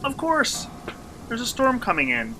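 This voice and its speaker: A man's voice: very high-pitched, wildly swooping pitch, a little slow, pushed and bright, slight background noise, full character voice, rough recording. An actor playing a brash, uneasy young male vlogger in a radio play, talking in a slangy, sarcastic, theatrical way. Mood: frustrated, bitter. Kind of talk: radio play acting, first-person monologue.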